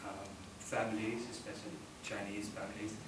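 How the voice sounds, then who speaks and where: A middle-aged man with an Indonesian accent tells his own story at a panel discussion, pausing often mid-sentence. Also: on the low side, flat delivery. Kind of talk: personal account at a panel discussion. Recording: roomy, noisy, distant microphone.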